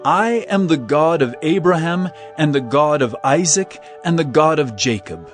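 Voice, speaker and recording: An actor playing Jesus as a calm, authoritative teacher in a dramatized scripture reading, with measured, solemn delivery. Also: male voice, on the high side, faint music behind, natural voice. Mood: firm.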